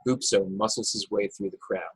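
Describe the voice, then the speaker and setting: A man's voice: on the low side, steady tone, fairly quick, husky, no echo. A man reading scripted action narration and stage directions at an online table read. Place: indoors, remote video call table read.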